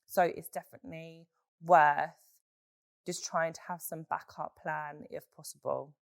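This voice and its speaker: Hoarse female podcast host, frank and animated, low-ish voice, dry room. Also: measured pace, no room sound. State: hoarse from a chest infection. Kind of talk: solo podcast Q&A, casual chat.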